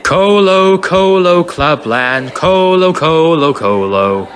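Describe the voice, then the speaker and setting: Male actor as taunting young hostel thug. Cocky, gleeful, unhurried, animated intonation, crime audio drama. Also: fairly high pitch.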